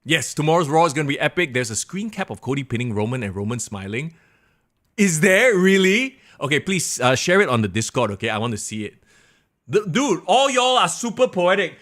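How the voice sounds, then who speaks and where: Hoarse excitable Singaporean podcast host. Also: male voice, brisk. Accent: Singaporean English. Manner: excitable, animated, drops to low mutters. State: hoarse, losing his voice. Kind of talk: solo live-stream chat reading viewer comments.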